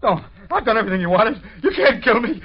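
A man's voice: high-pitched, very animated, fast. An actor playing a terrified man begging for his life in an old-time radio drama. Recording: muffled old radio recording.

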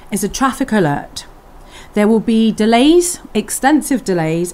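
A woman's voice: on the high side, darkish tone, close to an everyday voice. Chatty radio newsreader, played by a woman, talks straight to the audience. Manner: chatty, addresses listeners directly. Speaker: radio news presenter. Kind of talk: scripted radio news read.

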